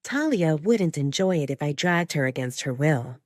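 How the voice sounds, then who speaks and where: A woman's voice: low-pitched, animated, moderate pace, darkish tone, no echo, studio quality. A woman voicing a protective young pack woman in an audio drama, reasoning with restrained irritation.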